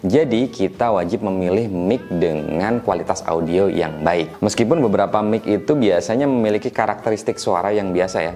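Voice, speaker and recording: A man with a low, deep voice that sounds stuffed up and nasal from a cold. He walks through gear tips in an easygoing, conversational way, often tagging his points with small questions. Soft music plays faintly behind him. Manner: relaxed, chatty, frequent tag questions. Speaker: tech reviewer teaching mic setup. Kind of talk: casual explanatory tutorial.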